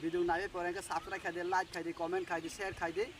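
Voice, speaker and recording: A man's voice: sits high, flat delivery, fast. A man talking to camera in a prank video, heard in a noisy, distant-sounding clip.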